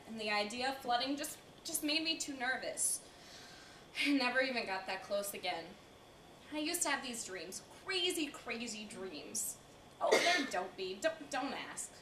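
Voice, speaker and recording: A woman's voice: on the high side, bright, forceful tone. A young woman on stage playing a reluctant, embarrassed old American lady who reminisces in a flustered, confiding way. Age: young adult. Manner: flustered, confiding, dramatic stops and starts. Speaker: student stage performer. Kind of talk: theatrical stage monologue. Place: indoor college performance before audience. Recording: echoey room, distant mic, faint hiss.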